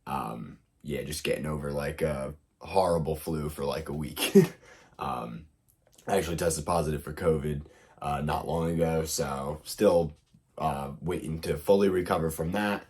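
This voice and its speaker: Brief: young man, very low voice, hoarse from illness, loose and rambling how-to host. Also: measured pace, darkish tone. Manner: loose, rambling, lots of fillers. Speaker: RC hobby video host. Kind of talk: casual how-to tutorial.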